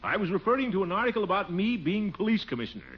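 A man's voice: high voice, very animated, racing. An actor playing a pompous, blustering, self-important middle-aged American man in a 1940s radio sitcom, with theatrical delivery. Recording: muffled, noisy 1940s radio recording.

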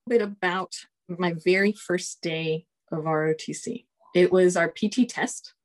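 Relaxed woman telling an anecdote. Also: on the low side, measured pace. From United States. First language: Spanish. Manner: relaxed, chuckles often, acts out quotes. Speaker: guest, Air Force colonel. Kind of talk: casual storytelling interview.

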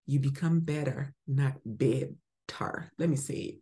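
A woman from Ghana giving a spoken devotional teaching as podcast host, emphatic and swinging from bright exclamations to soft murmurs. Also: a bass voice, fairly even intonation, measured pace.

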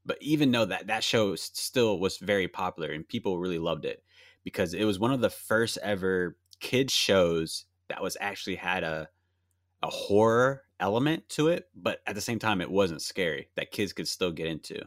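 Low-voiced man in his late twenties, casual podcast co-host, long explanations, dry close sound. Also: lively intonation, moderate pace, no echo. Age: 20s. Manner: explains at length, reacts to a movie while talking.

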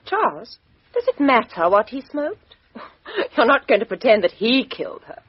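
Cool, husky, high-pitched British young lady. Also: very animated, measured pace, full character voice. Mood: cool, clipped. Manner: clipped, guarded, cool. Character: cool, independent, sarcastic young English woman. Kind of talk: 1950s radio mystery acting. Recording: muffled 1950s radio drama recording.

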